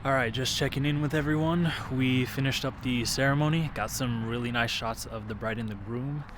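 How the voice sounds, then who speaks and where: Young man, vlogger, casual to-camera talk, mid-pitched, rambling, filler words. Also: steady tone, brisk. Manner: rambling, many fillers and restarts.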